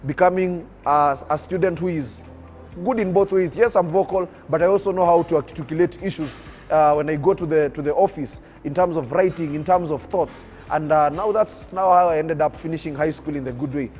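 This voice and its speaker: A man's voice: fairly high pitch, a little fast, muffled recording, a little hiss or hum. A nineteen-year-old man from Kenya telling his life story in an emphatic, oratorical way. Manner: oratorical, emphatic, preacher-like cadence. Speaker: young public speaker interviewed on TV. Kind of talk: earnest personal storytelling.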